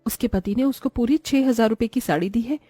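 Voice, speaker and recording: A woman playing a greedy, envious, sharp-tongued young wife and mother in a Hindi cartoon moral story. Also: a little high, fairly quick, dry recording, natural voice. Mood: scolding, resentful.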